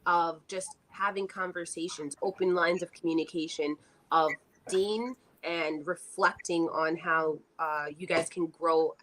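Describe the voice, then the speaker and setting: A woman's voice: fairly low pitch, fairly even intonation, unhurried, a bit bright, a little hiss or hum, rough recording. A middle-aged woman co-hosting a casual livestream chat, speaking in an earnest, reflective way. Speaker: co-host.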